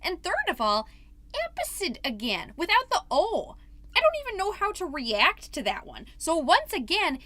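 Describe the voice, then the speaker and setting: A woman's voice: sits high, bright, forceful tone, no room sound, exaggerated voice. A woman playing a chatty, wholesome suburban mom podcaster, doting and easily exasperated, in a scripted comedic monologue. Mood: indignant. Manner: chatty, dramatic, folksy minced oaths.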